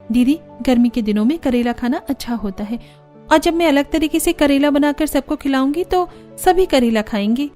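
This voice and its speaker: A young, dutiful daughter-in-law in a cartoon tale, acted by a woman. She sounds high and meek, always polite, with light music behind her. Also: animated, normal speed, warm tone. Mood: earnest. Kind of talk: cartoon story character voice.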